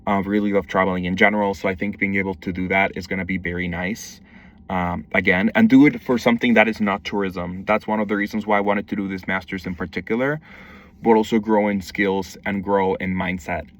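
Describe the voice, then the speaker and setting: A man's voice: deep voice, fairly even intonation, brisk. Young man from Mexico, distant mic. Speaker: wildlife conservation educator. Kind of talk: self-introduction video, casual monologue.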